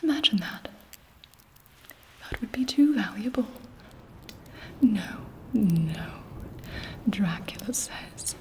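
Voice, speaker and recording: A woman's voice: medium pitch, animated, takes it slowly, pauses often, slight background noise. A woman voicing an imperious, seductive vampire queen in a whispered ASMR roleplay, with haughty, sardonic, formal phrasing. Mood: sardonic, scheming.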